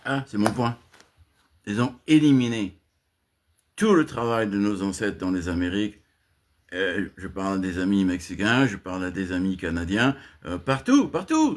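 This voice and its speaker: A man delivering an impassioned spoken rant to his channel, swinging from emphatic high outbursts to low muttered asides, with frequent restarts. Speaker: channel host giving a monologue.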